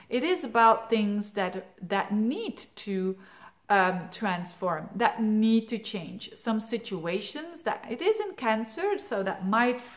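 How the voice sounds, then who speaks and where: A woman with a Flemish accent talks through a monthly horoscope in a loose, rambling way. Her first language is Dutch, and her English comes with plenty of fillers, repeats and restarts. Her voice sits at an ordinary middle pitch. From Belgium. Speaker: astrologer. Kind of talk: casual monthly horoscope talk.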